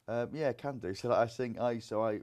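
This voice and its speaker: A man's voice: mid-range voice, brisk, a little mellow. A young man from Grimsby, a comedian and singer, chatting casually in an interview with lots of fillers. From Grimsby, England. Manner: rambling, many fillers.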